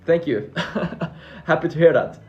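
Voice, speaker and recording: A man's voice: on the high side, unhurried, dull audio. A man from Finland whose first language is Swedish, hosting a casual live Q&A about freelancing and answering viewer questions in a friendly, upbeat way. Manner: upbeat, repeats words and restarts while reading viewer comments. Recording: faint background music.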